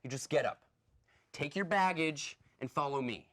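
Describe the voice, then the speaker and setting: A woman's voice: low-pitched, normal speed, a bit bright. A woman acting a pompous, bullying villain who lords it over a troupe of actors in a stage play. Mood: lordly. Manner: pompous, domineering. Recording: stage recording with audience noise.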